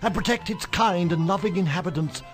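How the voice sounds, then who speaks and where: A man's voice: high-pitched, fairly quick, over music. A man narrating a game intro as a storybook tale, in a dramatic, theatrical manner.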